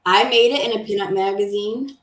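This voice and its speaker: A woman's voice: medium pitch, a little fast. Female co-host, emphatic, restating points, roomy echo with faint hiss. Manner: emphatic, repeats herself. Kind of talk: casual chat.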